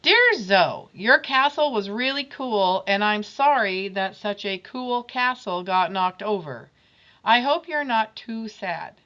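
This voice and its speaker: A woman's voice: on the high side, fairly bright tone, highs cut off. A woman reading as a grudging young schoolboy. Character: grudging young schoolboy writing apology notes.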